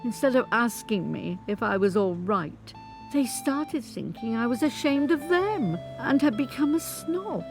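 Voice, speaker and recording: An actress playing a dignified woman of eighty-five, a survivor of an abusive marriage, telling her life story as a measured, articulate dramatic monologue. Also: on the high side, lively intonation. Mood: wistful, resolute. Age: older. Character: 85-year-old grandmother, abused former footballer's wife, dignified survivor. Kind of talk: dramatic first-person monologue, scripted storytelling. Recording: studio recording with guitar music underneath. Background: instrumental guitar music.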